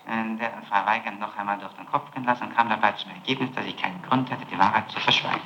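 A man's voice: fairly low pitch, steady tone, fast talker, bright, forceful tone, highs cut off. Probing male interrogator on an echoey, noisy tape. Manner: probing, insistent, confronting. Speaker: prosecutor questioning a suspect. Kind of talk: formal interrogation, reading out statements.

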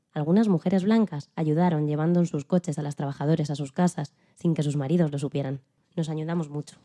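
A woman playing a dignified elderly female civil rights activist who recalls her struggle in a calm, earnest, plain-spoken way, as scripted interview storytelling. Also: deep voice, close to an everyday voice. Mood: calm, reflective. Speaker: podcast guest in a dramatized interview. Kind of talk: scripted first-person storytelling in interview form.

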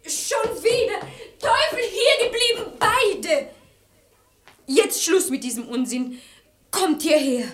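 A woman playing a sharp-tongued, resourceful maidservant in a German radio verse comedy, brisk, exasperated and commanding. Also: very high-pitched, lively intonation, full character voice. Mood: exasperated, bossy. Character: sharp-tongued, resourceful maidservant, bossy matchmaker of quarrelling lovers.

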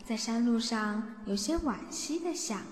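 A woman's voice: a little high, expressive, unhurried. A woman narrating a scripted audio drama as storyteller, recorded with reverb. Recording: echoey reverb under music.

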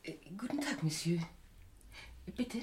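A woman's voice: mid-range voice, lively intonation, unhurried, lightly acted. A woman acting a formal, businesslike secretary at a law office in an eighties radio crime comedy. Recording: noisy 1980s archive radio recording.

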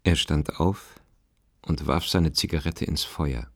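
A man acting as the detached narrator of a radio drama, reading literary prose in a measured, matter-of-fact way. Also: very deep voice, fairly bright tone, no room sound, clean studio sound, exaggerated voice. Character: measured, detached male storyteller. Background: plucked guitar music.

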